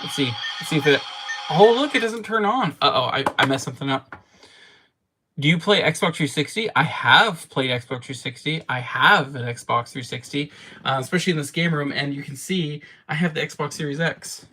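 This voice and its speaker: A man's voice: on the high side, animated, normal speed, pushed and bright, slight background noise, rough recording. A man in casual livestream chat from his game room, answering viewer comments in a rambling way and laughing at himself often. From Canada. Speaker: streamer.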